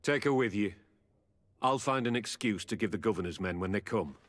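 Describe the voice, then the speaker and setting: An actor playing a gruff, dutiful military captain in a video game, formal and curt. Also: male voice, on the low side, a little fast, lightly acted. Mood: relenting. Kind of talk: video game cutscene acting.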